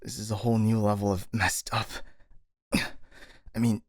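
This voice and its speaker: A man's voice: lowish voice, level tone, unhurried, no echo, studio quality, lightly acted. A man playing a skeptical, worried, exasperated ninja in an ASMR roleplay, with a sighing, doubting manner. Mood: skeptical, frustrated. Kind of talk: ASMR roleplay audio drama acting.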